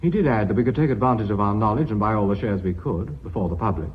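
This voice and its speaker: An actor playing a stern, principled company director in a British radio drama, formal, dry and deliberate. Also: male voice, fairly low pitch, lively intonation, husky. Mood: stern, cautious. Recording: dull old radio recording.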